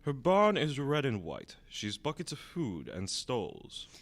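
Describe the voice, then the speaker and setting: A man's voice: medium pitch, wildly swooping pitch, unhurried. A man narrating a children's radio drama in a theatrical storybook style.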